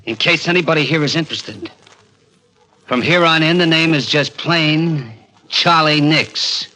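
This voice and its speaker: A man's voice: on the high side, unhurried, pushed and bright, low-quality recording. An actor playing a cornered, blustering holdup man with tough gangster slang, in the style of 1940s radio crime drama. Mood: bitter, brooding. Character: cornered small-time male holdup man, blustering and nervy. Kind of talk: 1940s radio acting, first-person crime narration. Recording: vintage 1940s radio recording, faint music.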